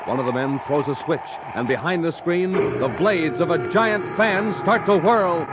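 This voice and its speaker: A man's voice: a little high, a little slow, over loud music. A man giving a dramatic, declamatory opening announcement and narration for a 1950s radio serial. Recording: noisy, muffled 1950s radio recording.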